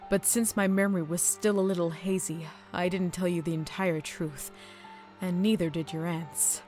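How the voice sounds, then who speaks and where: A woman voicing a calm female mentor pony in a fan audio drama, speaking in a measured, explanatory way. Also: lowish voice, soft background music, natural voice. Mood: reflective.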